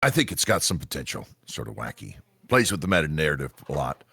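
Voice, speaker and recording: Guy doing a super deep raspy spy agency boss, pompous n hesitant, dry close sound, comedy radio play. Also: sing-song, fast talker, sharp tone, dry recording, full character voice. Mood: measured, self-satisfied. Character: gruff, self-important male executive agent boss. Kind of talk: live table read of a comedy radio play.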